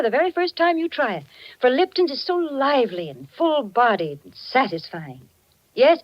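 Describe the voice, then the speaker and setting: Female vintage radio sponsor spokeswoman, animated and chatty, emphatic pitch, dry muffled recording. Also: no echo. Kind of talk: 1940s radio commercial pitch.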